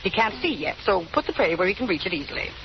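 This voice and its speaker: A woman playing a brisk, bossy hospital nurse in an old radio drama. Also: medium pitch, big pitch swings. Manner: brisk, officious. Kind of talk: 1930s-40s radio drama acting. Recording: muffled, noisy old radio recording.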